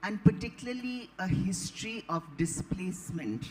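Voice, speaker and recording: A woman in her late seventies with an Indian accent, an author telling anecdotes on a panel in a deliberate, digressive, articulate way. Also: mid-range voice, expressive, darkish tone, rough recording. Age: late 70s. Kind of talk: anecdotal storytelling at a live panel.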